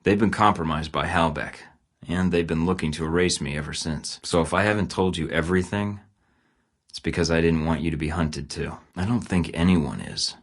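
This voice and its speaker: A man's voice: sits low, normal speed. An actor playing a terse, guarded male secret agent in a video game, with dry, clipped, sardonic delivery.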